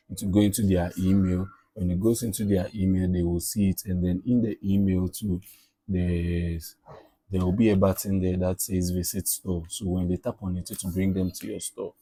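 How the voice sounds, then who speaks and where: Male, very mellow dark tone, slightly husky, casual tutorial narration, repetitive check-ins. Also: low-pitched, level tone, moderate pace, dry recording. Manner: repeats himself, frequent "okay" and "all right" check-ins. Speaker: tutorial presenter.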